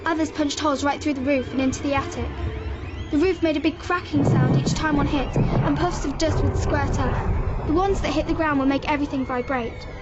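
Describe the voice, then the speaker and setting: A woman's voice: high-pitched, fairly even intonation, a little fast, natural voice. A woman playing a frightened girl recounting an eerie event, reading dramatically from a horror novel over music. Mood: eerie, awed. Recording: dull sound under loud music.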